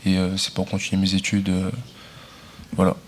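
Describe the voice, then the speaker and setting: A young man, a student guest on live radio, speaking in a calm, plain and even way. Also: low voice, normal speed. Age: young adult.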